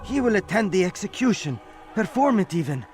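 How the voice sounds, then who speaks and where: A sorrowful, resigned man bringing grim news. His voice is high for a man, over clear music, in dramatic video game style. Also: very animated, fairly quick. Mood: grave, resigned.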